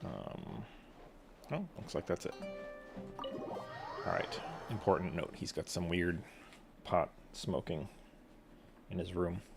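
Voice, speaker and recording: A man's voice: low-pitched, slow pace, vocal fry, long pauses, music in the background. A man giving casual, offhand game commentary with dry, sardonic quips. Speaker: gaming streamer.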